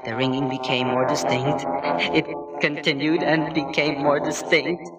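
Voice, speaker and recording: Man acting a slow, theatrical mad murderer. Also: fairly high pitch, level tone, close to an everyday voice. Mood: uneasy calm. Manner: theatrical, slow deliberate delivery. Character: mad murderer confessing, manic, cunning, increasingly frantic. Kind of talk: dramatic horror story reading. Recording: roomy, distant, music behind.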